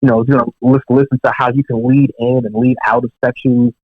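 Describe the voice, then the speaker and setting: A man telling a story as a guest in an interview, casual and animated, with many fillers and restarts. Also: medium pitch, fast talker, no room sound, narrow, tinny audio. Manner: animated, lots of "you know" fillers and restarts. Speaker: guest drummer.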